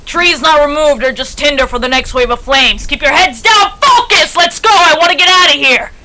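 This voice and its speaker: A woman's voice: sits high, normal speed, pushed and bright. A woman playing an inmate firefighter crew leader in a drama reading, barking orders in short, drilling bursts. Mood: commanding, urgent. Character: commanding inmate firefighter crew leader driving her team. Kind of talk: staged play reading over video call. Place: online rehearsal on a video call.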